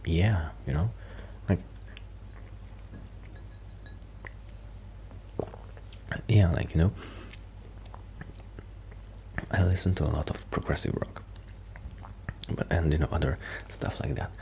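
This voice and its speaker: Guy acting as shy musician buddy, super low creaky voice, soft asmr rambling, bit of background noise. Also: normal speed. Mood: thoughtful, rambling. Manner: hesitant, rambling, self-interrupting. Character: shy, quiet young male musician friend who rambles about music. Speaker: ASMR roleplay performer. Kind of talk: soft-spoken ASMR roleplay with whispering.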